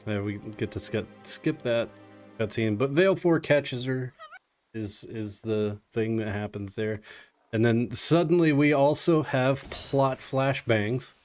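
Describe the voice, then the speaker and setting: A man's voice: medium pitch, unhurried, a little mellow. A man giving casual live commentary on a speedrun in a jokey, sarcastic way. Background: video game music.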